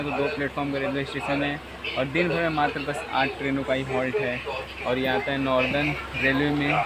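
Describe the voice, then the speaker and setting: Young man vlogging over loud train noise. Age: 20s. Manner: chatty, addresses viewers as brother and guys. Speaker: travel vlogger. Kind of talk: casual travel vlog commentary. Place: open doorway of a moving train, outdoors. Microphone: phone held at arm's length. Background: loud train rumble and wind.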